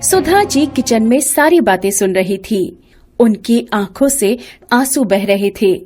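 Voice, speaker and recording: A woman's voice: fairly high pitch, animated, measured pace, a little mellow, slightly rough, soft background music. A woman storyteller giving scripted narration of a family moral story.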